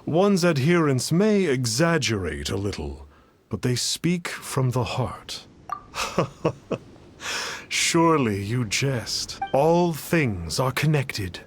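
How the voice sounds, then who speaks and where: An actor playing a pompous, cryptic self-styled immortal sage in a video game, with archaic, theatrical phrasing. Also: male voice, mid-range voice, sing-song, slow pace, husky, full character voice. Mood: lofty. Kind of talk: video game character acting.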